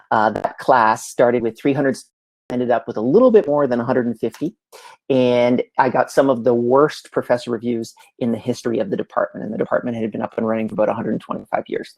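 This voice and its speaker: Gravelly Canadian man giving a talk. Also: mid-range voice, poor audio. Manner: energetic, conversational, frequent "uh" fillers. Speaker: conference presenter. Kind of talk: live online presentation.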